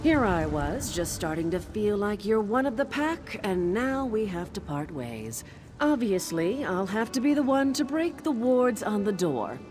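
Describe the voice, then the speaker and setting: A woman's voice: medium pitch, sing-song, unhurried, darkish tone, soft background music. An actress playing a wry, earthy forest witch in a video game, with a casual, teasing manner. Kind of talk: video game character dialogue.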